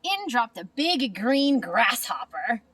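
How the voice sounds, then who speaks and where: Woman reading a kids picture book, takes it slow, expressive, sounds a little away from the mic. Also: a little high, husky, no echo, distant mic. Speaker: storybook reader. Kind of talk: children's storybook narration.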